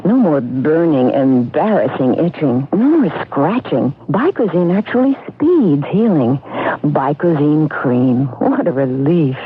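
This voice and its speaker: Guy doing old radio testimonial, highish husky voice, tinny. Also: animated, measured pace. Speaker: advertising voice.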